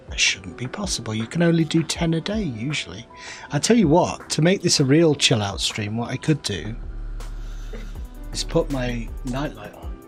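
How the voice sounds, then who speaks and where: A man with a mild Northern English accent, chatting in a relaxed, musing way while playing a game on a live stream and answering chat. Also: on the high side. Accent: mild Northern English, softened by years in the south. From northern England. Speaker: gaming streamer.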